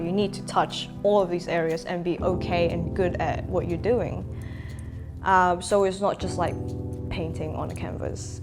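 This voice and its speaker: A young woman from Hong Kong in her twenties speaks in a reflective interview, her voice on the lower side. She pauses with frequent "um"s and slips in light laughs. Music plays clearly behind her in a bright indoor room. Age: 20s. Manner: reflective, frequent "um" fillers, laughs between thoughts. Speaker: interviewee, makeup artist. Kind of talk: sit-down interview.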